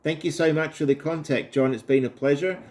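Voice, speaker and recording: A man's voice: fairly high pitch, steady tone, brisk, a little mellow. An Australian man talking casually and politely as an amateur radio operator. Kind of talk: casual ham radio chat.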